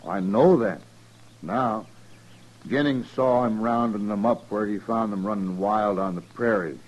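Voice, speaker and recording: An actor playing an evasive, callous American rancher in a 1950s radio western, with folksy, halting, understated talk. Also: male voice, on the low side, poor audio. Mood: sheepish, explaining. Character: evasive, callous male rancher confessing to a lynching.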